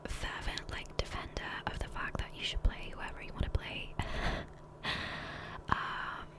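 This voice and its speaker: A woman speaking in a soft, breathy whisper close to the microphone, rambling casually and self-deprecatingly as an ASMR gaming creator. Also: a little slow. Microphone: close USB condenser microphone.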